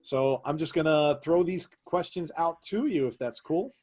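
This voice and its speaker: A man's voice: on the high side, moderate pace, a little mellow. A man hosting a wrestling podcast, reacting with eager praise and many fillers. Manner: enthusiastic, heavy on praise and fillers. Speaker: podcast host. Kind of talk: casual interview chat.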